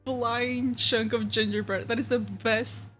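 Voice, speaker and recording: A woman's voice: fairly high pitch, steady tone, measured pace, a bit bright. A woman game streamer chatting casually and reading dialogue aloud, playful and slangy. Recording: game music playing behind the voice.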